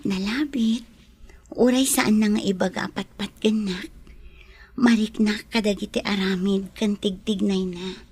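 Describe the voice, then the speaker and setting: Audio drama lead: actress playing gentle, ailing mistress of the house, Ilocano accent, husky and breathy. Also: female voice, medium pitch, fairly even intonation, unhurried. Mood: wistful. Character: gentle, ailing lady of the house, quietly in love.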